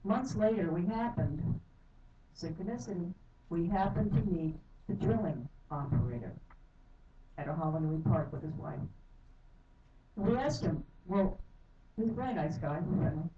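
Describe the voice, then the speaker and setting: Low-voiced woman testifying in an echoey chamber. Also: animated, moderate pace, long pauses, mellow. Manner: earnest, heated, apologizes for anger. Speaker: public testifier. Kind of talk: public testimony at a council hearing. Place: county council chamber. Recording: echoey hall from far off, dull and noisy.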